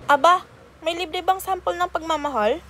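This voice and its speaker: A woman puts on a nosy, cheeky village busybody for a radio drama. Her voice is extremely high and exclaiming, with clear noise around it. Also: moderate pace. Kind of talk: student radio drama acting.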